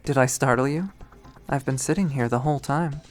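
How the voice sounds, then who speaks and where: A man's voice: medium pitch, a little fast, natural voice. A man plays a cool, reserved young college woman in a visual novel. The figure speaks in short, curt phrases, with faint music underneath.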